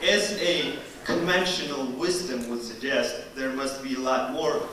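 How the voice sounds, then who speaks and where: A man from Georgia with a Georgian accent, a non-native English speaker doing a deliberate, self-deprecating stand-up comedy routine. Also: mid-range voice, sharp tone. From Georgia (the country). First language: not English. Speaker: stand-up comedian, teaching assistant. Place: live stage performance in university room. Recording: echoey room, distant mic, audience noise.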